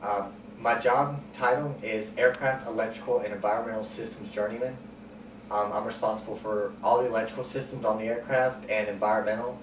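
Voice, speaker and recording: A man in his twenties answering interview questions calmly and plainly, with frequent ums. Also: on the low side. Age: 20s. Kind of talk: sit-down interview. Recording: roomy, distant mic, noisy.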